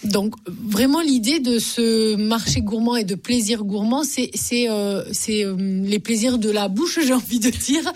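A woman's voice: on the high side, a little slow, few pauses, darkish tone. Chatty woman radio host. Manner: chatty, laughs easily, many fillers. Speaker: local radio magazine host. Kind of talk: friendly radio interview.

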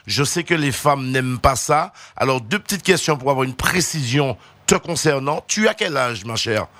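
A man hosting an informal interview show, talking in a wordy, halting way and often repeating his phrases. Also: mid-range voice, animated, sharp tone, dry recording. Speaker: radio show host.

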